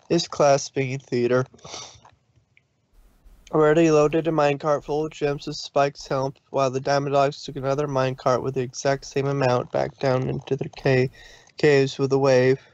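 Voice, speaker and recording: A man's voice: a little high, darkish tone, no room sound. Male story narrator, dry dull recording. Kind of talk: scripted fan fiction narration.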